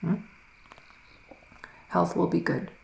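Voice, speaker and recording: A man playing a solemn psychic male witch in a soft-spoken, half-whispered ASMR roleplay, deliberate and full of breathy pauses. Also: reverberant, slight background noise, lightly acted. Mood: grave, soothing. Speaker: ASMR performer.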